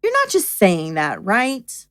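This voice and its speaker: A woman's voice: mid-range voice, wildly swooping pitch, slow pace, a bit bright, no room sound, clean studio sound, heavily acted. A woman playing a playfully bossy, affectionate young girlfriend in a naturalistic audio drama. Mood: insistent, fond.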